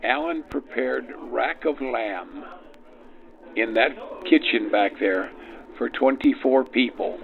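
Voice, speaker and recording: Very gravelly man through an old radio. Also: medium pitch, slow. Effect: vintage 1940s radio filter. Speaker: private railcar host, interview guest. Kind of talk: unscripted explanatory interview talk. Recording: tinny narrow-band old radio sound.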